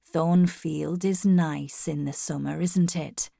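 A woman voicing an ardent, commanding gentleman in love, earnest and intense, in a storybook reading. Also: low voice, fairly even intonation, takes it slowly, vocal fry, no room sound, studio quality, exaggerated voice.

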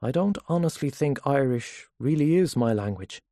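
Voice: medium pitch, expressive, normal speed, warm tone, no echo, studio quality, lightly acted. A narrator voicing a reserved, defensive married man with a hesitant, weary manner, in an audiobook story. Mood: uneasy, defensive. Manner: hesitant, guarded.

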